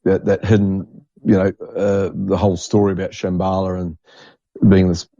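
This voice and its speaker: A man's voice: low voice, little pitch movement, moderate pace, mellow, dry recording. A man hosting a podcast in casual chat, enthusiastic and repeating himself for emphasis, on a lo-fi recording. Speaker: podcast host. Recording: dull lo-fi, dry microphone sound.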